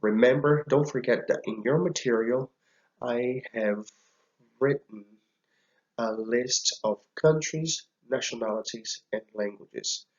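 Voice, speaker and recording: A man teaching a recorded slide lesson, speaking deliberately and repeating each phrase for learners to follow. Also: mid-range voice, dull audio. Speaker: language teacher.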